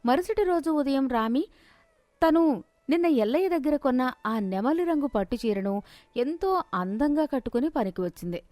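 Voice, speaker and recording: A woman's voice: high-pitched, expressive, moderate pace, warm tone, dry recording. A woman storyteller reading a scripted moral tale.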